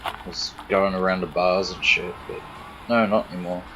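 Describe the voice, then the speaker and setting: A man's voice: low voice, steady tone, normal speed, background noise. A man hosting a live stream, chatting casually and bluntly, grumbling and swearing freely. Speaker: stream host. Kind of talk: casual chat.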